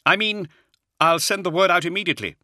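A man's voice: high voice, quick pace, bright, forceful tone, no room sound, clean studio sound, pitched above the performer's own voice. A man voicing a nervous, deferential army colonel in an audiobook, hesitant and politely protesting. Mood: anxious, deferential. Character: nervous, deferential male army colonel, anxious under superiors. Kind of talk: audiobook character acting.

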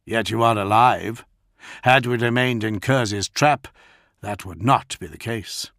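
A man voicing a weary male commander who reasons in a measured, firm manner. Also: lowish voice, fairly bright tone, no echo, clean studio sound.

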